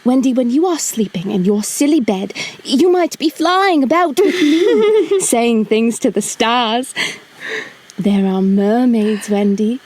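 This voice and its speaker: A woman's voice: on the high side, expressive, slow pace, darkish tone. A woman playing a cocky, adventurous magical boy in an audio drama. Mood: eager, enticing.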